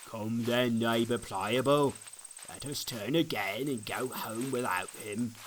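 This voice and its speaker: A man's voice: mid-range voice, slow, close to an everyday voice. Stubborn male scoffer voiced by a male reader, dismissive, archaic storybook drama, audible noise behind. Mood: scornful. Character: Obstinate, scornful stubborn male neighbour. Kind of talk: dramatic reading of an old allegory.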